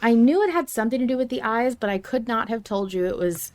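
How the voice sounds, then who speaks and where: A woman's voice: a little high, expressive, fast, darkish tone. Playful woman chatting as podcast co-host. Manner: playful, joking, informal slang. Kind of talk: casual chat.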